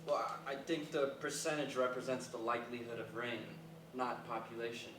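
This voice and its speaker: A man's voice: medium pitch, level tone, a little fast, natural voice. A man acting an earnest, awkward young dropout in a staged comedy, matter-of-fact and literal-minded. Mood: earnest, correcting. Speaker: stage actor in a staged reading. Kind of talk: naturalistic stage comedy dialogue. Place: live staged reading in a reverberant room. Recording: echoey, noisy room from far off.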